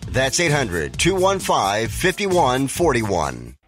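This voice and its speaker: A man reading a radio ad in a brisk pitchman manner. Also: huge pitch swings, fairly bright tone, soft background music. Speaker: ad announcer. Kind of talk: radio ad read.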